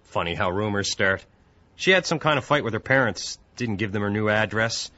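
Laconic super, bright lively voice. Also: male voice, lowish voice, brisk. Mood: matter-of-fact. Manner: clipped, folksy, casual phrasing. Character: laconic male apartment building superintendent, matter-of-fact. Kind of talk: audio drama acting. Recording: dull lo-fi audio.

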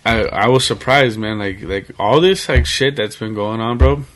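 A man's voice: on the low side, moderate pace, a bit bright. A man in his late twenties, a podcast guest, talking casually and rambling, with lots of fillers and swearing. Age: 20s.

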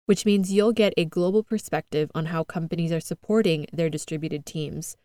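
A woman's voice: lowish voice, a little mellow, clean tone, dry recording. An American woman hosting a podcast, reading an earnest, upbeat scripted monologue with light laughs. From United States. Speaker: podcast host.

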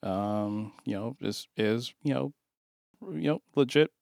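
A man with a Chicago accent co-hosting a podcast in halting, easy-laughing casual chat. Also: lowish voice, creaky, a little mellow, dry recording, studio quality. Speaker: podcast co-host.

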